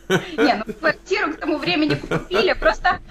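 A woman from Moldova, a guest in an interview over a video call, explaining at length in a lively way with fillers and easy laughs. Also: sits high, moderate pace, a crowd in the background. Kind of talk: conversational interview answers.

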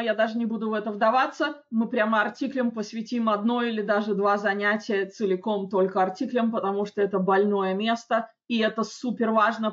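A woman teaching an English grammar lesson, explaining rules in a chatty way with frequent checks and slow, careful example sentences. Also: mid-range voice. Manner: reads English examples slowly and distinctly. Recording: dull lo-fi audio.

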